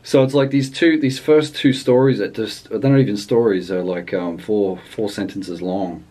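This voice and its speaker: Male reviewer, lower register, off-the-cuff and rambling, thinks aloud with hesitations. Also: normal speed, dark tone. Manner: hesitant, rambling delivery with trailing thoughts. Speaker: language book reviewer. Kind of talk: casual unscripted review chat.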